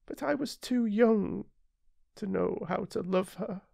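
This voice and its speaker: Man voicing a high, earnest little boy prince. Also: slow pace, creaky, darkish tone, heavily acted. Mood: regretful. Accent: British. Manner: earnest, wistful.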